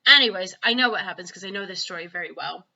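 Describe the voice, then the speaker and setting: A woman's voice: fairly low pitch, quick pace, pushed and bright, lo-fi sound. A woman, a primary school teacher, reading a children's story aloud expressively.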